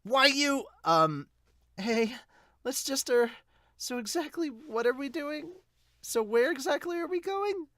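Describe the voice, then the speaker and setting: Man doing a high, flustered scolding schoolgirl. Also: lively intonation, unhurried, a bit bright, no room sound, exaggerated voice.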